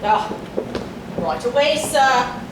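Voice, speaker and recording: A woman's voice: a little high, big pitch swings, slow pace. A woman playing a deferential, flustered male manservant in a staged whodunit, speaking hesitantly. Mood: obedient. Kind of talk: theatrical staged whodunit acting. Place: stage in a hall, recorded from afar. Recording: echoey hall, distant mic, noisy.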